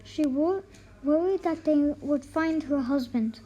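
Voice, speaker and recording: Female storybook reader, high voice, slow halting read with stumbles and repeats. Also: dark tone, muffled recording. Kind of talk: children's read-aloud of a folktale.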